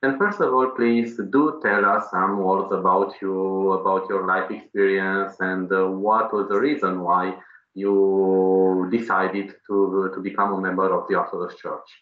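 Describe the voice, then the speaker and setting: Very deep voiced guy interviewing, polite and formal, slow careful english, echoey dull audio. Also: little pitch movement. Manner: formal and courteous, slow and measured. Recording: dull, echoey room sound.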